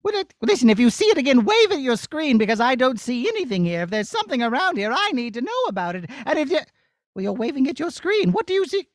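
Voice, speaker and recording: A performer voicing an excitable, skittish princess who talks to children in a flustered way in a puppet show. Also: sits high, fast, no room sound, clean studio sound. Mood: puzzled, chatty. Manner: exclamatory, flustered, addressing children directly.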